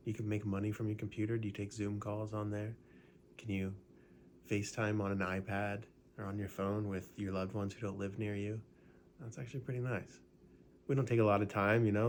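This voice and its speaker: Low-voiced man casually guiding meditation. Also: a little hiss or hum. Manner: relaxed, conversational, with frequent "right?" and filler words. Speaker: meditation guide.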